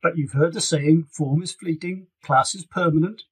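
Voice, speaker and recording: A man's voice: a little high, slightly rough, rough recording. A man voicing an affectionate old grandfather, firm and reassuring, in an audiobook reading. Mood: encouraging. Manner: reassuring, emphatic, deliberate. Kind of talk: acted dialogue in an audiobook reading.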